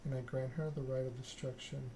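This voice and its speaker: Stern vampire monarch for a game, female role read by a man: formal, imperious, flat intonation. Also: mid-range voice, brisk, noisy background, close to an everyday voice. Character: stern, formal vampire prince holding court, a woman.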